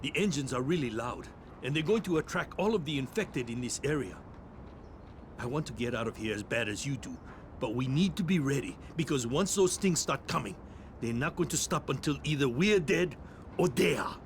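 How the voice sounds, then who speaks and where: An actor playing an urgent, practical survivor of a zombie apocalypse in a video game, earnest and emphatic. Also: male voice, mid-range voice, lively intonation, normal speed, slight background noise, natural voice. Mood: tense, urgent. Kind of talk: video game cutscene acting.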